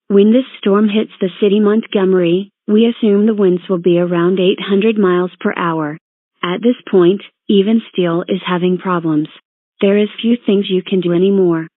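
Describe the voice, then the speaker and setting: A woman reading a scripted emergency broadcast announcement in a formal, measured, synthetic-sounding way. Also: mid-range voice, dry recording. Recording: narrow tinny phone-like band.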